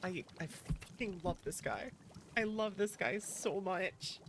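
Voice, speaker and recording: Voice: mid-range voice, slow pace, noisy background. A playful, chatty VTuber streamer talking casually to viewers while playing a game, in a mid-range voice. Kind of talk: casual livestream chat, reading game dialogue aloud. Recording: music behind the voice. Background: game music.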